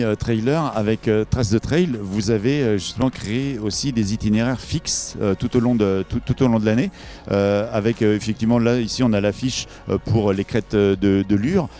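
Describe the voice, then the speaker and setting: A man's voice: lowish voice, dark tone. A man presenting a promotional event interview, improvising and often searching for his words.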